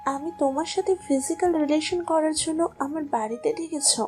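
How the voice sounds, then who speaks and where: A woman's voice: high-pitched, normal speed, darkish tone, faint music behind, natural voice. A woman playing a seductive, domineering female boss in a romantic audio story, coaxing and commanding as she speaks. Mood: seductive, insistent.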